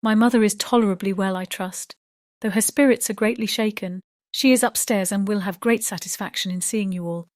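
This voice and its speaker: A woman voicing a gentle, dutiful young lady in an audiobook, composed and earnest. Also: mid-range voice, fairly even intonation, a little fast, no room sound, studio quality, natural voice. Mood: hopeful, earnest. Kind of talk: dramatized audiobook character reading.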